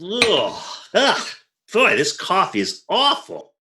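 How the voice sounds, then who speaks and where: A man's voice: high voice, wildly swooping pitch, slow pace, reverberant, noisy background, full character voice. A man playing an exasperated, grumbling husband with dry, sarcastic delivery in an old-time radio comedy. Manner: dry, sarcastic, put-upon.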